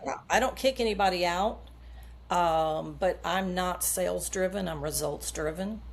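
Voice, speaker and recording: Low gravelly-voiced woman coach. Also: normal speed. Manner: earnest, explains with homely metaphors. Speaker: subconscious coach, guest. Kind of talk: podcast interview answers.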